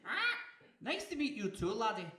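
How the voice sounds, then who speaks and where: In an echoey room, a cheeky Scottish seagull played by a woman scolds and advises in a comic story. The accent is laid on thick and the highish voice swings up and down with bossy, teasing confidence. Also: very animated, moderate pace, bright, forceful tone. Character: pushy small talking seagull, self-appointed voice of reason. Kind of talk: comic audio story acting.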